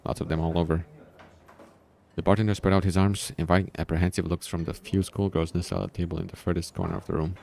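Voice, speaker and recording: A man's voice: deep voice, level tone, rapid-fire, creaky, few pauses, a little mellow. A man reading a visual novel aloud, breaking in with casual, informal comments of his own. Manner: informal, reacting to the story with asides. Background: background music.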